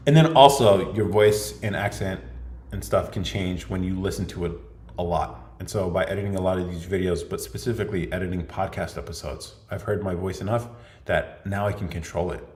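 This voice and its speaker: A man's voice: low-pitched, moderate pace, darkish tone. A young man hosting a livestream Q&A podcast, chatting casually and laughing often as he jokes. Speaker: podcast host. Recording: echoey room.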